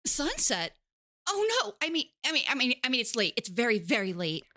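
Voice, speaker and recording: A woman voicing a young princess under a curse, speaking softly and earnestly. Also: fairly high pitch, very animated, a little fast, no room sound, clean studio sound. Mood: flustered. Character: young princess cursed to turn ogre by night.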